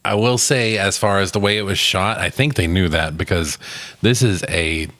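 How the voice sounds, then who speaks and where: A man's voice: deep voice, normal speed, fairly bright tone. A man co-hosting a podcast, chatting casually in short, dry, laid-back remarks. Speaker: podcast co-host. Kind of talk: casual chat, short reactions.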